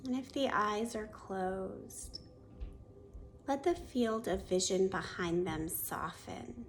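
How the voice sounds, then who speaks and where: A woman yoga teacher leading a guided meditation in a soft, soothing, gently instructive voice that often trails into a breathy near-whisper. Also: mid-range voice, takes it slowly, a little hiss or hum.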